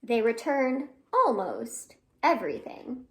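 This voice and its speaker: A woman leads a storytime for young children in a high, very lively voice. She takes her time, reading expressively and putting questions and exclamations to her listeners. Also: slow. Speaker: children's storytime host at a library. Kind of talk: animated read-aloud for young children with sung greeting.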